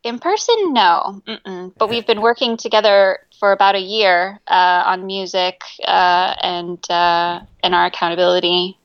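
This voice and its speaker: A woman's voice: on the low side, measured pace, few pauses, no echo, dull audio. A woman musician being interviewed in a casual chat, speaking hesitantly with many ums and easy laughter. Her first language is Greek. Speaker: musician guest.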